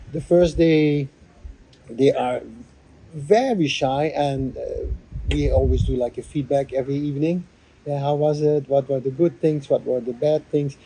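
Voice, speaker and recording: Husky, dark-voiced man, halting. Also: fairly high pitch, poor audio. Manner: halting, many fillers and restarts. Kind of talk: reflective interview answer.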